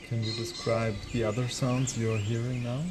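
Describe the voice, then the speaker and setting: Even-voiced man amid outdoor noise. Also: medium pitch, normal speed. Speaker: interviewer, documentary maker. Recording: noisy outdoor field recording. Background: birdsong and outdoor ambience.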